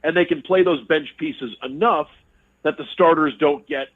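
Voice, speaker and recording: A man, a radio sports broadcaster, giving casual analysis as a guest, talking quickly and repeating words as he thinks. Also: a little high. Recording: tinny phone line.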